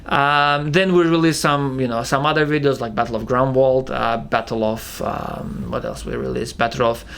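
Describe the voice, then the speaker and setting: A young man with a thick Russian accent, casually answering viewer questions as a channel co-host, halting with many fillers and restarts. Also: medium pitch, steady tone, gravelly, a bit bright, clean tone. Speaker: channel co-founder hosting Q&A. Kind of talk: casual Q&A chat.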